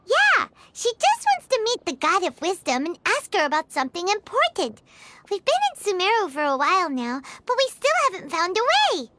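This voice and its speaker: Very high squeaky fairy sidekick. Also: female voice, huge pitch swings, a little fast, bright, forceful tone, no echo, full character voice. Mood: earnest. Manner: squeaky, exclamatory, refers to self by name. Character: tiny childlike floating fairy companion, perky, outspoken, third-person talker. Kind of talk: video game character dialogue.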